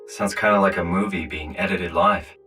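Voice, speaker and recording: A weary North American man in a video game, troubled and brooding. His low voice has a bright, forceful edge and a conversational delivery. It sits a little away from the mic, with music underneath. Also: steady tone, normal speed, recorded from a distance. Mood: curious, weary. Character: weary, distressed man uprooted into a robot body. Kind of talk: naturalistic video game acting.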